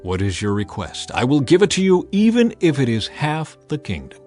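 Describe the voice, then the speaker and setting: A man playing a commanding ancient king with a forceful, regal delivery in a dramatized audiobook. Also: mid-range voice, wildly swooping pitch, normal speed, faint music behind, pitched above the performer's own voice. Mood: grand, generous. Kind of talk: dramatized audiobook character acting.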